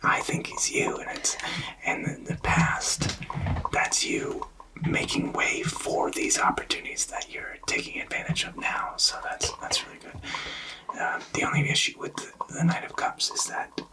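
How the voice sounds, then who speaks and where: A man whispering an ASMR tarot reading, explaining softly and reassuringly with hesitant pauses. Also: recorded from a distance, low-quality recording. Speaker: tarot reader.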